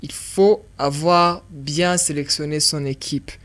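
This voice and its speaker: A young man from Burundi, a film director, explaining his work in a radio interview in an animated way and repeating words while he thinks. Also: a little high, slow.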